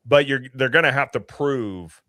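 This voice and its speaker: A man co-hosting a casual live chat show, talking in an animated, opinionated way. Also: mid-range voice, normal speed, dry recording. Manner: animated, slips into impressions. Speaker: podcast co-host.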